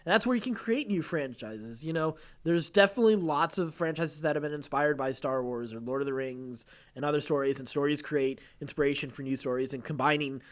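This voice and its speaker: Male podcast co-host, slightly high voice, casual delivery of entertainment news. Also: a little fast, hardly any pauses. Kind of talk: casual chat about entertainment news, at times reading a story aloud.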